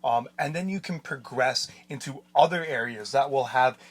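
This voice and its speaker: A man hosting a casual video game podcast, animated and laughing, explaining in halting bursts. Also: mid-range voice. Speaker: podcast host. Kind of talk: casual chat.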